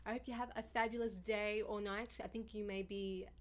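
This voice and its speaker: A woman with a deep, raspy voice, chatting casually with viewers on a livestream. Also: steady tone, fairly quick, background noise. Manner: chatty, bubbly, thanks viewers often. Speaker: livestreamer and YouTuber.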